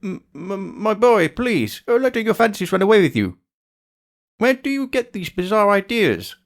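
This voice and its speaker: A man's voice: sits high, sing-song, normal speed, creaky, heavily acted. An actor playing a troubled, blustery old attorney in a video game, with an old-fashioned, grandfatherly way of talking. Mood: flustered. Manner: blustering, old-fashioned, stammering when flustered. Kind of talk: dramatic video game character acting.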